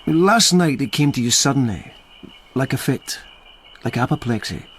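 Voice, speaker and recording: An actor playing a restrained young officer who asks quiet, measured questions in a radio drama. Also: male voice, medium pitch, lively intonation, slight background noise, lightly acted. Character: Lieutenant Yukinari, a restrained, probing young officer.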